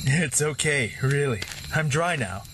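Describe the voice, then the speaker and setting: A man's voice: on the high side, lively intonation, unhurried, noisy background. A man playing a laid-back wandering ex-warrior who teases his companions and narrates his own story. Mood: easygoing. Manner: easygoing, teasing; reflective in narration. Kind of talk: first-person narration and dialogue.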